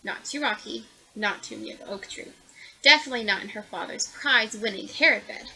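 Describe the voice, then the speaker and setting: A woman reading a children's picture book aloud in an animated, expressive way. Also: mid-range voice, fairly quick, gravelly, slightly rough, low-quality recording. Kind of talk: children's storybook read-aloud.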